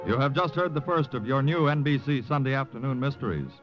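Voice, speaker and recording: A man's voice: medium pitch, animated, quick pace. A man announcing a scripted network promo in vintage mid-century radio style. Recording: dull vintage radio broadcast, faint music.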